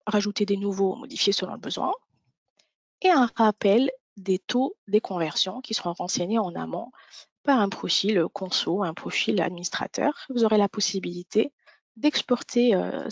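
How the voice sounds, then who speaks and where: Female webinar presenter, mid-pitched with noticeable creak, methodical explanatory delivery, very dry close sound. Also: dry recording. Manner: step-by-step explanatory. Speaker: software demo presenter. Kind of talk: instructional webinar walkthrough.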